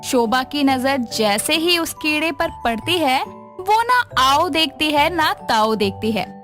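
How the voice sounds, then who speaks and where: High-pitched, unhurried woman storyteller. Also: lively intonation, fairly bright tone, soft background music. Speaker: narrator. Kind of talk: scripted storytelling narration.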